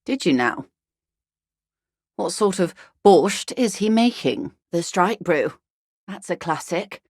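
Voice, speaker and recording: Game role: genteel, shrewd female corporate negotiator, lowish voice, measured irony, dry close studio sound. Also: lively intonation, a little mellow, no room sound, full character voice. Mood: wry, probing. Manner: measured, dryly ironic, refined. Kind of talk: video game character dialogue.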